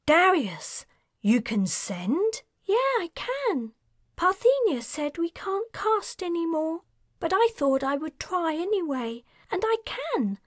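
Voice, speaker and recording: A woman voicing a cheery young male mage, high and upbeat. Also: sing-song, takes it slowly, no room sound, clean studio sound, exaggerated voice. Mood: cheerful. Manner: bright and upbeat, innocently cheery. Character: young man, cheerful mage, eager and chatty.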